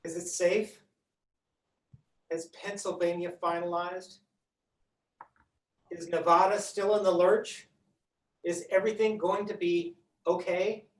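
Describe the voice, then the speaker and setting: Man acting fretful, high-voiced father, echoey and distant. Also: little pitch movement, slow, pauses often. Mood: anxious. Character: anxious father, an obsessive collector, fretting. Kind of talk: staged reading of a play. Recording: echoey room, distant microphone.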